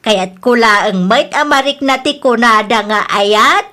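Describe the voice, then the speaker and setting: Apologetic widowed mother for audio drama, played by a woman: plaintive, pleading, high-pitched and piercing. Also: unhurried, sharp tone. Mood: ashamed, sorrowful. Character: lovelorn, apologetic widowed mother of grown children.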